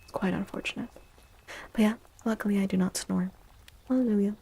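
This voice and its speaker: A woman streamer chatting softly and drowsily in a very chill ASMR style, often dropping into a whisper. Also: medium pitch, fairly even intonation, fairly quick. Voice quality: soft, breathy. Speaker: live stream host. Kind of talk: soft-spoken ASMR chat with stream viewers.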